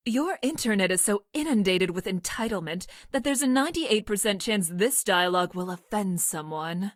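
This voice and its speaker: Game role, actress: female future scientist, mid-pitched, clinical and emotionless, formal precise diction, close dry booth sound. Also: animated, normal speed, no echo, close to an everyday voice. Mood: formal, detached. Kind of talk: scripted video game character lines.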